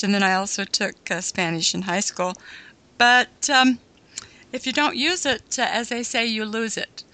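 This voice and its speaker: A woman hosting a talk radio interview, speaking in a measured way with frequent pauses and fillers. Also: mid-range voice, sharp tone, rough recording. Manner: measured, halting with many fillers. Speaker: radio host.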